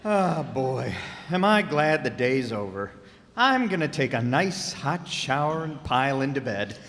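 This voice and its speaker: Animated sitcom husband played by a man, roomy hall. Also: mid-range voice, measured pace, a little hiss or hum. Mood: weary, grumbling. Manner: exaggerated, exclamatory comic delivery. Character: breezy, oblivious 1940s husband. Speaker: amateur radio player. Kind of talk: old-time radio sitcom acting. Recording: echoey hall stage performance.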